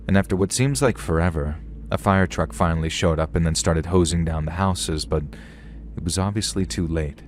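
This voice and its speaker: A man narrating a story in a calm, steady storytelling manner. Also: sits low, moderate pace, a little hiss or hum. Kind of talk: scripted horror story narration.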